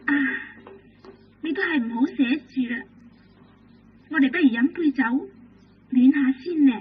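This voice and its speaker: A woman's voice: high-pitched, slow pace, long pauses, slight background noise. A woman with a Hong Kong Cantonese accent, voicing the ghost of a murdered young wife with coy, teasing laughter in a vintage radio drama. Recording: tinny lo-fi old radio recording with music.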